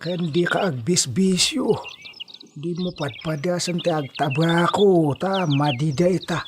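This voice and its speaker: A man's voice: a little high, a little slow, close to an everyday voice. A man with an Ilocano Filipino accent playing a humble, spry old farmhand in a comedy radio drama, drawling and exclaiming in a folksy way. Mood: chatty, advising. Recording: noisy track with bird chirps.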